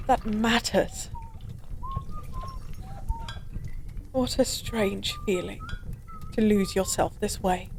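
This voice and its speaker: A woman's voice: fairly high pitch, a little mellow, a little hiss or hum. A woman playing an ancient fallen goddess, drunk, weary and resigned, in an intimate, melancholy audio roleplay monologue. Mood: wistful despair. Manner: slow, brooding, melancholy drunken rambling.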